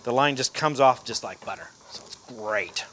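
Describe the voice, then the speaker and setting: A man's voice: medium pitch, lively intonation, normal speed, a bit bright. A middle-aged man giving a casual, folksy how-to demonstration, talking loosely with fillers and restarts while seated indoors. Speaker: fishing instructor. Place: indoor room, seated in a chair.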